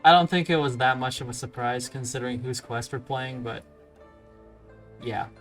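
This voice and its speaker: Let's play host: young man, medium-pitched, offhand and wry, soft game music in the background. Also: moderate pace. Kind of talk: casual game commentary.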